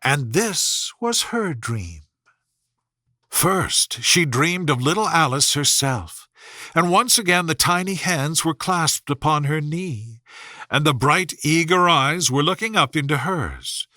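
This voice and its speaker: A man reading a children's story aloud as a scripted, expressive narration. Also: fairly high pitch, slow, no echo, clean studio sound. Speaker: audiobook narrator.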